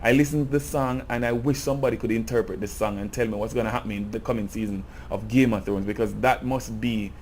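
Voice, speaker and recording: A man's voice: mid-range voice, steady tone, fast, hardly any pauses, a little mellow, a little hiss or hum. A Jamaican man giving an earnest, impassioned cultural commentary in a video essay. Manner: earnest, grows impassioned and emphatic.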